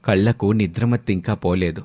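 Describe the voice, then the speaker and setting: A man's voice: low-pitched, a little fast, mellow, dry recording. A man narrating a scripted moral story as its storyteller. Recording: music behind the voice. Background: music.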